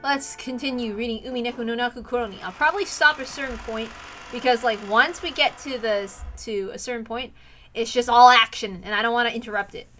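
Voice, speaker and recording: Bright-voiced woman reading a visual novel. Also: fairly high pitch, a little fast, faint music behind. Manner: informal, rambling, self-interrupting. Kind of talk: casual chat and story read-aloud.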